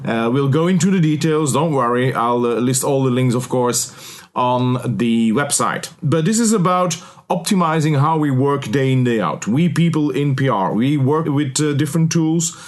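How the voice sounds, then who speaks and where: A man hosting a podcast interview, relaxed and full of filler words. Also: mid-range voice, normal speed. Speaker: podcast host. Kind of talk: casual interview.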